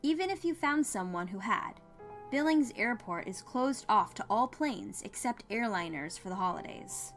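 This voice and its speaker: A woman's voice: mid-range voice, lively intonation, normal speed, a bit bright, faint music behind, lightly acted. A woman doing a helpful small-town male clerk. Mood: regretful. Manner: casual, helpful, matter-of-fact. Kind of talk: dramatized audiobook character dialogue.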